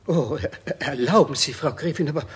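Husky, fearful old manservant. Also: male voice, fairly high pitch, normal speed. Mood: alarmed, formal. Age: older. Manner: deferential, pompous, stammering when frightened. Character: fearful, formal, superstitious old male manservant. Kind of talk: 1970s German children's radio play acting.

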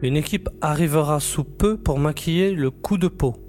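A man's voice: on the high side, normal speed, darkish tone, lightly acted. Matter-of-fact male sci-fi colleague, played by an actor, dry and composed, faint music behind. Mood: businesslike. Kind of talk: audio drama acting.

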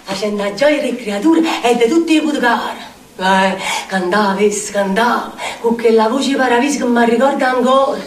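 An actress playing an eccentric, earthy Neapolitan storyteller in a declamatory theatrical monologue, with a strong Neapolitan accent. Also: female voice, fairly high pitch, moderate pace, close to an everyday voice. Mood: vivid, nostalgic. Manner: declamatory, dramatic, sing-song repetitions. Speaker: stage actress. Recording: echoey theatre stage, distant mic, faint noise.

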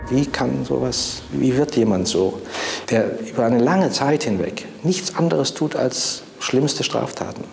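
A man's voice: mid-range voice, lively intonation, unhurried, creaky, soft background music. A man recollecting in a spontaneous interview, as a former official, recorded in a reverberant space. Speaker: former prison director interviewed. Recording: echoey room with long reverb.

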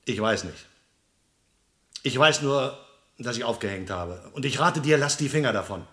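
A man's voice: medium pitch, animated, normal speed, pushed and bright. A man acting in a vintage crime radio play as a suspicious museum restorer, brusque and sardonic. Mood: wary, insistent. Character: gruff, suspicious male museum restorer warning a friend. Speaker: radio drama actor.

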